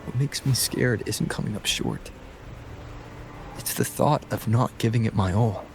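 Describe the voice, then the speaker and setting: A resolute young wanderer in a fantasy game. His voice is fairly low with a gravelly creak, calm and reflective, earnest without showiness, over faint ambience. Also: unhurried, noisy background. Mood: quietly resolute. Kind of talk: scripted video game voice acting.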